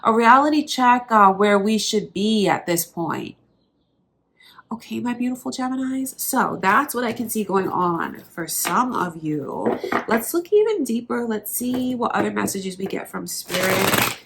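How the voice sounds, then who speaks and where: A woman's voice: mid-range voice, animated, measured pace, gravelly, a bit bright, a little hiss or hum. A woman with a blocked, nasal voice from allergies, giving a tarot reading straight to her viewers in an earnest, reassuring way. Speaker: tarot reader.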